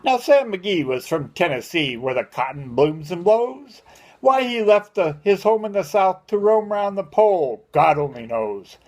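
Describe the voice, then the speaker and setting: Older male, high voice, homespun grandfatherly verse reader with a theatrical, sing-song storyteller's rhythm. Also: big pitch swings, unhurried, darkish tone. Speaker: grandfather reading a poem aloud to family. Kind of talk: dramatic amateur poem recitation.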